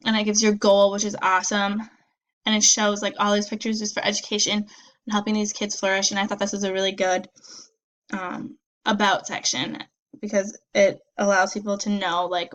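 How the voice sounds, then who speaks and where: A woman's voice: medium pitch, a bit bright, rough recording. A young woman giving peer feedback in a casual, upbeat way, full of likes and ums, a little nasal from a cold. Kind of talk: casual spoken review.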